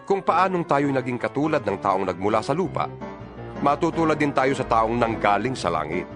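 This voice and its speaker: A man's voice: mid-range voice, sing-song, normal speed, lightly acted. A man with a Filipino accent playing an apostle exhorting fellow believers in an emphatic, oratorical dramatized Bible reading. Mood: solemn, emphatic. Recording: music bed behind the voice.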